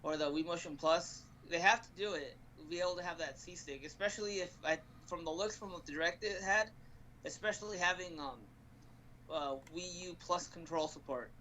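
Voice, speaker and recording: A man co-hosting casual gaming talk, halting as he searches for his words. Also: fairly high pitch, pushed and bright, slight background noise, low-quality recording. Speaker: co-host of a gaming news show.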